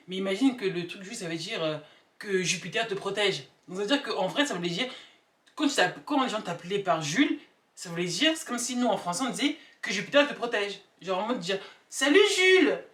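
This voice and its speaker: Animated woman vlogging in an echoey room. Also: lowish voice. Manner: animated, emphatic, repeats words for effect. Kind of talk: casual comedic opinion chat to camera. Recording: echoey room, distant mic, background music.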